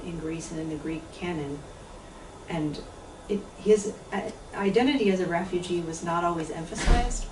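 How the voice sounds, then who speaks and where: A woman in her fifties gives a reflective talk about her translation, her voice low and gravelly with a measured pace and frequent pauses. She is picked up from some distance in an echoey lecture room, with a faint hiss of noise underneath. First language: English. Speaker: author and translator giving a talk. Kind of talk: book talk with poetry reading.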